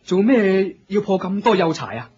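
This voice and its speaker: A man's voice: high voice, sing-song, moderate pace, darkish tone, husky, dull audio, full character voice. A man with a Hong Kong Cantonese accent playing an eager, naive young novice in a radio drama, hesitant, questioning and deferential. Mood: eager, unsure. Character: eager, naive young male novice of a fire-worship sect.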